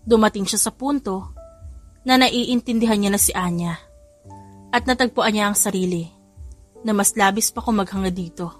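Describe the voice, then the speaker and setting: A woman's voice: medium pitch, normal speed, long pauses. A woman with a Filipino accent narrates a scripted romance story. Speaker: audiobook narrator. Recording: music behind the voice. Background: background music.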